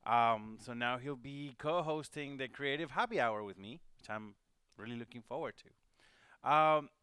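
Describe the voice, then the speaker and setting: A man's voice: mid-range voice, a little slow, sharp tone, clean tone, dry recording, clean studio sound. A man from Venezuela hosting a livestream, talking casually and rambling with many fillers and restarts. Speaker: host. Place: home studio.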